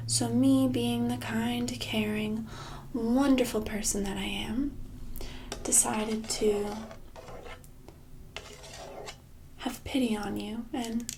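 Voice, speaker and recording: A woman playing a smug, controlling boss in a soft-spoken ASMR roleplay, with condescending, mock-sweet, drawn-out delivery. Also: on the high side, gravelly, slight background noise. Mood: smugly condescending. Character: smug, controlling female boss holding an employee captive.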